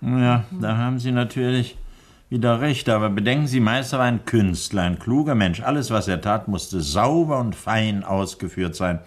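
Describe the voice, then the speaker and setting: An actor playing an older nerve doctor in a 1960s German radio crime drama, formal, thoughtful and hesitant. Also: male voice, lowish voice, moderate pace, lightly acted. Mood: measured. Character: anxious, deliberate older male nerve doctor, a father.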